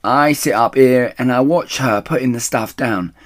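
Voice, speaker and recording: A man's voice: mid-range voice, normal speed, pitched above the performer's own voice, close to an everyday voice. A man voicing a spiteful, territorial rat in a children's storybook, shrieking and taunting. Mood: scornful.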